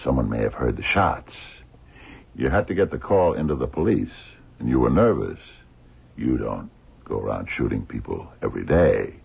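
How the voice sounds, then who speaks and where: Very deep gravelly radio detective. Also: male voice, sing-song, heavily acted. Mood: relentless. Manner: probing, deliberate, pausing questioner. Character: shrewd, blind male police detective, calmly relentless. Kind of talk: old-time radio drama acting. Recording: muffled, narrow-band old radio recording.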